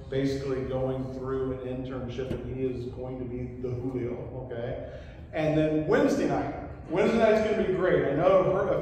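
A man's voice: on the high side, darkish tone, slight background noise. A man in his fifties hosting a church missions event on a handheld mic, upbeat and joking with the congregation. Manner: upbeat, joking, calls on the audience. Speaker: pastor hosting a missions conference. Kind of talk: casual church announcements. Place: church hall stage. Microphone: handheld microphone picked up from afar. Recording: echoey hall, distant camera.

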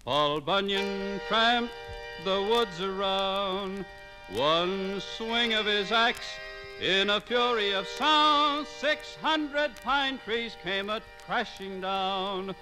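A man sings a folk ballad for a 1950s radio program. His voice is high and he takes the words very slowly. Music accompanies him clearly throughout. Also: low-quality recording. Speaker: ballad singer. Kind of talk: slow sung folk ballad.

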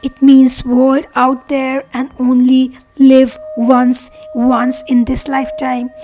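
High, even-toned woman guiding meditation. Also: slow. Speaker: meditation guide. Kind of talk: guided meditation affirmations. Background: meditation music.

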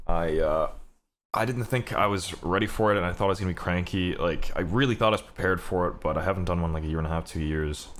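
Deep-voiced, animated male game streamer. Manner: profane, exclamatory griping. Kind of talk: casual gameplay commentary.

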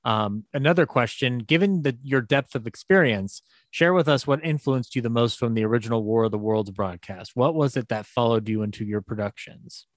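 A man hosting an interview, reading out questions in a measured, somewhat formal way. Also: mid-range voice, no room sound. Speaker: interview host.

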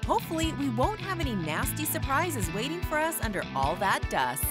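Woman tv host reading narration, animated and highish, music under it. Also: a little fast. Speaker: presenter. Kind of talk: scripted TV narration.

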